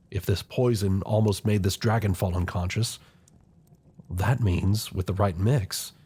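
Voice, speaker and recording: Man voicing an older royal advisor, low husky voice, formal, measured, deferential yet scheming, fantasy audio drama. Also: dark tone. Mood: urging. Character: loyal, scheming older male royal chancellor, formal and grave. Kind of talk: scripted fantasy audio roleplay acting.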